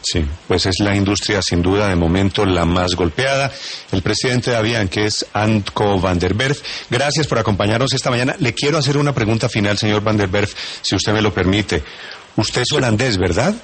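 Husky radio host, low voice. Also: male voice, a bit bright. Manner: brisk, formal interviewer. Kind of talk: morning news radio interview.

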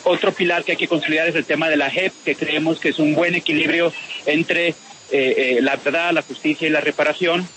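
A man from Spain with a Spanish accent speaks in a somewhat raised voice and lays out his analysis in a careful, measured way. He often stops on "eh" and restarts his sentences. The line has a clear hiss of noise behind him. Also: a little high, fairly bright tone, rough recording. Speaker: peace process analyst. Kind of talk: phone-in radio interview.

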